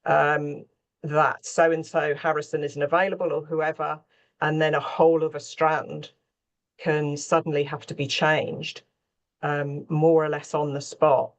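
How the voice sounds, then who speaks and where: A woman's voice: sits low, level tone, measured pace. A middle-aged or older woman, a scriptwriter, explaining her work in conversation on a panel. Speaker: radio drama scriptwriter on a panel.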